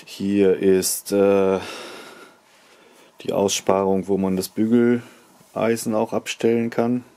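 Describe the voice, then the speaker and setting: A man's voice: on the low side, little pitch movement, slow, pauses often, dark tone. A man in his fifties giving casual unboxing commentary as a product reviewer, chatting and thinking aloud as he inspects things at a table in a small wood-panelled room.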